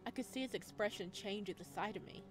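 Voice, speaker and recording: Woman, medium pitch, let's play narrator, reads aloud, casual expressive asides. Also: fast, faint music behind. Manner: expressive, chatty asides between read passages. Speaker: game streamer reading aloud and reacting.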